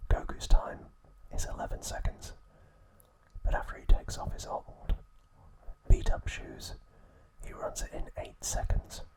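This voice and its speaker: A man's voice: a little slow, poor audio. Whispering man narrating ASMR. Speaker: ASMR host.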